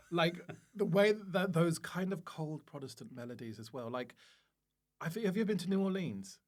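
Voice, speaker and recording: A man's voice: a little high, animated. A man chatting as a comedian guest on a podcast, telling anecdotes in a gushing, campy manner and acting out what people said. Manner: campy, gushing, quick to act out quotes. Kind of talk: animated anecdotal podcast chat.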